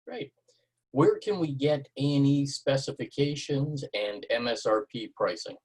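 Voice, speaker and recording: A man's voice: medium pitch, a little slow, a little mellow, husky, rough recording. A man acting as webinar moderator, reading out audience questions to a presenter.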